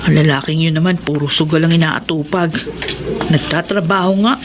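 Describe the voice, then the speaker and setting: A woman's voice: sits low, steady tone, fairly quick, noisy background, lightly acted. A Filipino actress playing a poor, emotional mother and long-suffering wife in a radio melodrama. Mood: worried. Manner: emotional, pleading and reproachful.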